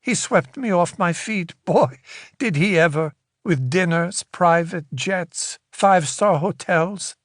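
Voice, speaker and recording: A woman's voice: lowish voice, animated, unhurried, no echo, clean studio sound, voice pushed up. A man voicing a bitter, vindictive woman with a Ukrainian accent, whiny and self-pitying.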